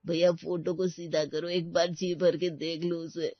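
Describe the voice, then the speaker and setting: A woman voicing excitable, sentimental male relatives with melodramatic delivery in a comic family story. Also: fairly low pitch, a little slow, dry recording, muffled recording, natural voice. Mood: grieving.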